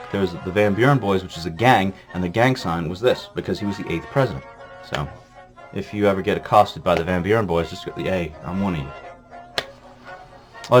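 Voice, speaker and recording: A fairly low-pitched man rattles off history in a loose, profane ramble, stumbling over fillers and restarts. He sounds jittery from coffee, and faint music plays underneath. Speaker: vlog host. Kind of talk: irreverent comedic history rundown.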